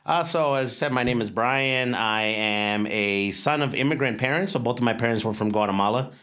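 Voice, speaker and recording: A man's voice: mid-range voice, a little fast, fairly bright tone, clear, resonant tone. A man in his forties from Los Angeles, a real estate investor and mindset coach, telling his story in a candid, casual interview. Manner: candid, storytelling, repeats words as he goes.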